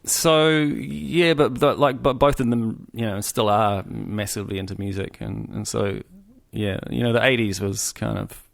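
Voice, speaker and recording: Halting New Zealand man in his forties. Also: on the low side, creaky. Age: 40s. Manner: halting, lots of restarts and fillers. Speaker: guest musician. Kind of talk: casual conversation.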